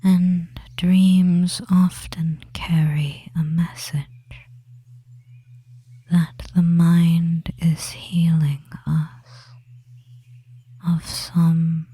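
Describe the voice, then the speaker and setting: A performer voicing a devious male witch in a soothing, breathy ASMR hypnosis whisper, pausing between short phrases. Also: mid-range voice, mellow, full character voice. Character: devious male witch lulling a captive, soft and controlling.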